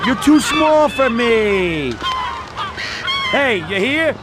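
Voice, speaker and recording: A man's voice: very high-pitched, lively intonation, extremely slow, full character voice. An actor playing a cocky, hot-tempered male gangster who taunts and threatens his pursuer in a video game. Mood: taunting, angry. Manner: taunting, shouting, contemptuous. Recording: noisy, vehicle sounds behind the voice.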